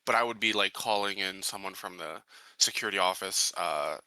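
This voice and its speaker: A man playing a tabletop roleplaying game, chatting casually and haltingly as he works out his character's actions. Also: low voice, sharp tone, dry recording, rough recording.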